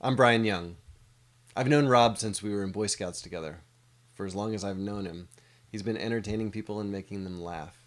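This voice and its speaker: A man's voice: fairly low pitch, moderate pace. A middle-aged American man, an animator, giving a scripted presentation of a project.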